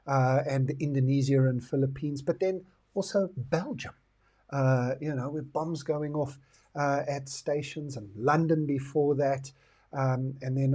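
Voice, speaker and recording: A man's voice: mid-range voice, dark tone. A middle-aged South African man hosting a talk, explaining his views in a reflective way. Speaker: host. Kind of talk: talk to camera on current affairs and the future.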